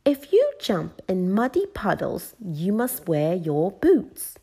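A woman voicing an excitable, bossy little girl piglet in a children's storybook, with a high, childlike voice. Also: takes it slowly, breathy edge, heavily acted. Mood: instructive. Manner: eager, exclamatory.

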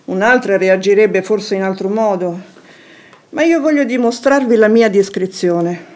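An actress playing a poised, discreet noble wife in a radio drama, speaking in a measured, formal, coolly tactful way. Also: female voice, mid-range voice, darkish tone, lightly acted. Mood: firm, discreet. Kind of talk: theatrical radio drama acting.

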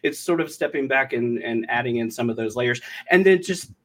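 Mid-pitched man explaining in casual conversation. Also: lively intonation, a little fast, a bit bright. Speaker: podcast guest, audio drama writer and director. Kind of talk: casual interview chat.

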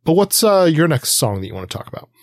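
A man's voice: fairly high pitch, big pitch swings, fast talker, dry recording, clean studio sound. A man co-hosting a podcast, talking through music reflectively and conversationally, often searching for his words. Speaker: podcast co-host. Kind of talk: conversational music review discussion.

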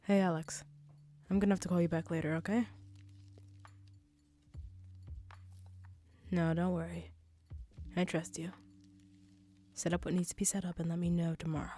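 A woman's voice: lowish voice, warm tone, lightly acted. A woman voicing an assured female mafia boss who is teasing and affectionate with her girlfriend, in an intimate audio roleplay. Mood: businesslike.

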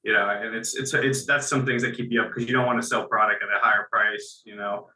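A man's voice: fairly low pitch, steady tone, fairly quick, bright, forceful tone, slight background noise. A man speaking as a business guest in a casual interview, talking conversationally and repeating phrases as he restarts his sentences. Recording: echoey room.

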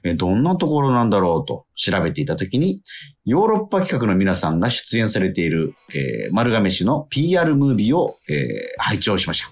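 A man hosting a radio show, chatting casually and reading out letters in a polite, animated way with frequent interjections. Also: lowish voice, a little fast. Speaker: radio show host and actor. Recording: music playing faintly behind. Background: background music.

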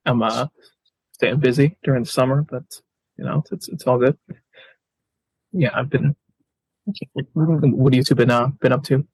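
Halting, gravelly male podcast guest. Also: medium pitch, steady tone, darkish tone, no room sound, low-quality recording. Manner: halting, stammering, repeats words. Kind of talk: casual interview talk. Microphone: video call.

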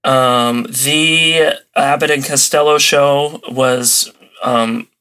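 A man talking casually as a podcast guest and enthusiast, explaining things in a halting way as he thinks aloud. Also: medium pitch, fairly even intonation, bright, forceful tone, smooth. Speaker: podcast guest, old-time radio enthusiast.

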